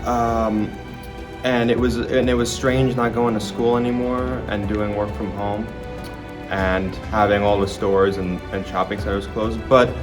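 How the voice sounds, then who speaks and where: A man's voice: fairly low pitch, flat delivery, measured pace. An eighteen-year-old man speaking in a documentary interview, reflective and hesitant, with fillers and restarts. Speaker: student filmmaker and interviewee. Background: background music.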